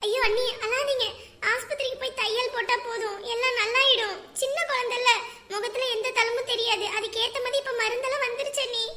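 A woman's voice: very high-pitched, fairly even intonation, brisk, pushed and bright, reverberant, slight background noise. A woman playing a sympathetic young sister-in-law in a family drama, with a consoling, innocent manner. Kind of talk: melodramatic family drama acting.

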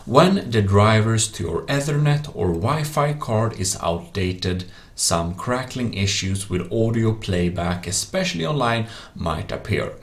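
Guy doing a step by step pc tutorial, lowish gravelly voice, pitch goes up and down a lot. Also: animated, measured pace, few pauses. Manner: instructional, plain-spoken. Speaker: tutorial presenter. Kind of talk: step-by-step software tutorial narration. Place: voice-over screen recording.

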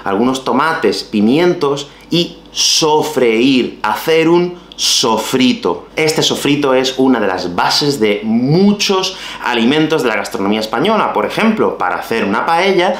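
Lively Spanish teacher in echoey room. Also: male voice, mid-range voice, measured pace. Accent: Spain Spanish. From Spain. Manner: lively, expressive, playful asides. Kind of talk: teaching Spanish vocabulary to camera. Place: small bright room at home.

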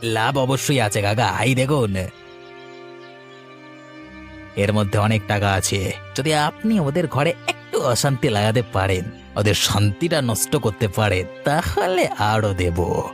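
A man's voice: lowish voice, lively intonation, a little slow, faint music behind. A man voicing a spiteful, greedy village schemer in a cartoon, with a conniving, accusatory manner. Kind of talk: cartoon dialogue acting.

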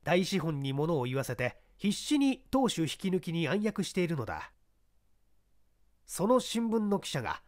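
推理小説を芝居がかった調子で朗読する男性ナレーター。. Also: male voice, fairly high pitch, a little mellow, dry recording, clean studio sound. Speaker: audiobook narrator. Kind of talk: dramatic scripted narration of a mystery story.

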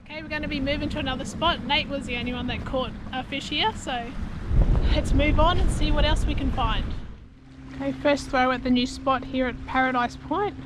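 A woman's voice: high voice, fairly even intonation, brisk. A middle-aged woman narrating a casual fishing vlog outdoors on a shoreline, cheerful and encouraging, filmed on an action camera. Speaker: fishing vlogger. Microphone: action camera, often held at arm's length. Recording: outdoor recording with clear wind and water noise.

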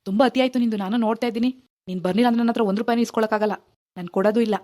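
A woman's voice: fairly high pitch, fairly even intonation, darkish tone, pitched below the performer's own voice, close to an everyday voice. A woman voicing a put-upon young husband in a domestic drama, pleading and complaining. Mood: annoyed. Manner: pleading, complaining, quick to give in. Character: put-upon young husband running a small tea stall. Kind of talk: amateur domestic drama acting.

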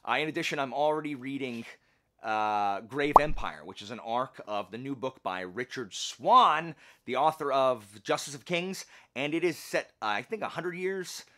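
A man's voice: mid-range voice, moderate pace. A man hosting a book channel, chatting casually and emphatically in colloquial speech with self-deprecating humour. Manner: animated, emphatic. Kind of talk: casual chatty vlog talk about books.

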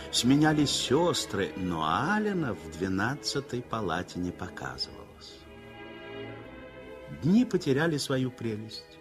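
An older man narrating a 1960s radio play in an expressive storytelling manner. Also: lowish voice. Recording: old radio play with faint music.

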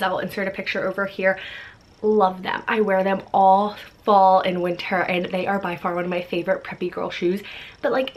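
Bubbly chatty young woman vlogger. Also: mid-range voice. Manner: bubbly and chatty, many fillers like "like" and "so cute". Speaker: fashion vlogger. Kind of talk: casual fashion haul talk. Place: pink-decorated bedroom, indoors.

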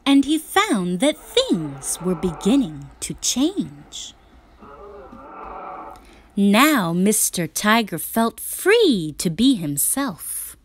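A woman reading a children's picture book aloud as a storyteller, expressive and dramatic, sometimes dropping to a whisper for emphasis. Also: mid-range voice, very slow, slight background noise.

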